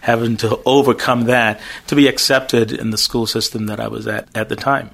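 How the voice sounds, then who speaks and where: Jamaican man speaking with measured care. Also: medium pitch, level tone, creaky, fairly bright tone. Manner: measured, thinks through words, occasional stutter. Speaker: pastor and school owner. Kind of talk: interview guest.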